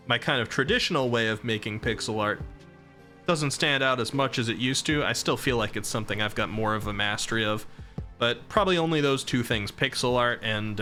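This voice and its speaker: Young man streamer, voice going hoarse. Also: mid-range voice, sharp tone. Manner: rambling and self-deprecating, reading chat and laughing. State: voice going hoarse from long talking. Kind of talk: casual live-stream chat.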